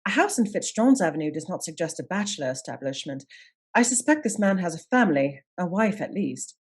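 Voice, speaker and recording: A woman acting as a shrewd Edwardian lady detective in a radio play, measured, polite and decisive. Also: fairly low pitch, sing-song, husky. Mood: probing, decisive.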